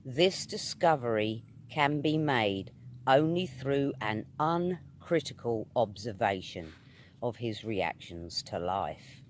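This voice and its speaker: A British man reads a scripted spiritual essay at a slow, deliberate pace. His pitch sits in a middle register and moves widely, giving the phrases an emphatic, oratorical shape. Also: expressive, a little hiss or hum. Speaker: reader of metaphysical essays.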